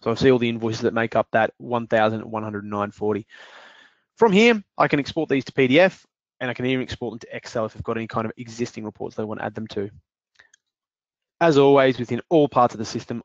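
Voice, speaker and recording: A man walking through a software demonstration in a webinar, explaining steps with frequent fillers. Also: mid-range voice, fast talker, dry recording, dull audio. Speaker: software demo presenter.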